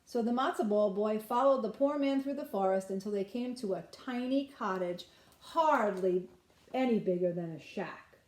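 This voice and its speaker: A woman reading a children's storybook aloud like a nursery teacher, pausing to put simple questions to listeners. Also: mid-range voice, darkish tone, echoey room.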